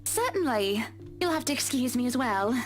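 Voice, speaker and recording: Actress as refined party hostess, very high voice, polite yet insistent, visual novel game. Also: female voice, expressive, moderate pace, lightly acted. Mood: polite, busy. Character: gushing, insistent high-society hostess, adult woman.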